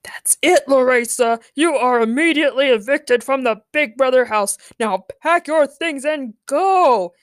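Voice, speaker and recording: A woman's voice: sits high, a little slow, few pauses, no echo, studio quality, pitched above the performer's own voice. A woman voicing a bossy, mischievous female reality show host with dramatic, commanding announcements in a comic story. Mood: furious.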